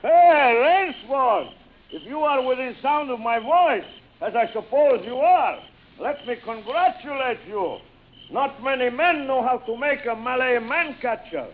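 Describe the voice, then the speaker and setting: An actor playing a suave, gloating aristocratic general who hunts men for sport, theatrical and mock-courteous, in vintage radio style. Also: male voice, extremely high pitch, animated, a little slow, heavily acted. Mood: taunting, triumphant. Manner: theatrical, mock-courteous, savouring his words. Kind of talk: 1940s radio acting. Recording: old radio broadcast, tinny and noisy.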